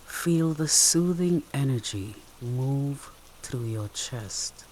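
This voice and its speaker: Medium-pitched voice, very slow guided meditation, gentle, soothing, long pauses, steady rain behind. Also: a little hiss or hum, rough recording. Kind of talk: guided meditation, soft instructional narration.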